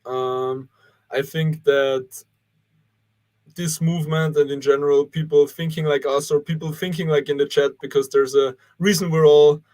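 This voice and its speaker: A man's voice: a little high, steady tone, normal speed, darkish tone. A young man from Austria, a guest organizer on a live online panel, answering in a rambling way with many fillers. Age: young adult. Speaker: guest, youth cleanup organizer. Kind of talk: casual livestream panel answer.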